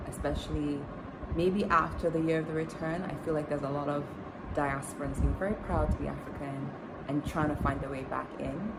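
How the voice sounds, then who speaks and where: Low-voiced woman vlogging over loud noise. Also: creaky. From Tanzania. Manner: chatty, with frequent fillers and restarts. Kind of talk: casual storytime vlog.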